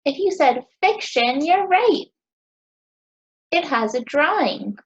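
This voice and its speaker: High, sing-song American woman teaching children. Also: sing-song, highs cut off. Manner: sing-song, encouraging teacher talk with deliberate pauses. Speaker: teacher hosting a children's story time. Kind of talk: children's lesson with questions to young listeners.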